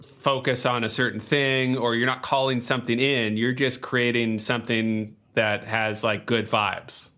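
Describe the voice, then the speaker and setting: A man playing a tabletop game, talking casually and haltingly in character, peppering his questions with "like". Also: medium pitch, little pitch movement, sharp tone. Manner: halting, frequent "like". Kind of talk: casual improvised in-character chat.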